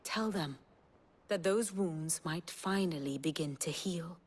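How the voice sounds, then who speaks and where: A woman playing a composed, wry scholarly strategist in a video game, speaking in a measured, eloquent, theatrical way. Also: lowish voice. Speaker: strategist and lorekeeper. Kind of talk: dramatic video game acting, lecturing. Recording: music behind the voice.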